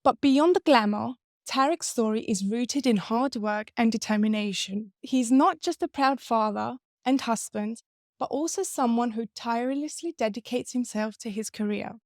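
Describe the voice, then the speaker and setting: High-voiced woman hosting a podcast. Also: moderate pace, no room sound, studio quality. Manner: lively, curious, asks follow-up questions. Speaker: podcast host. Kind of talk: interview podcast, scripted intro then chat.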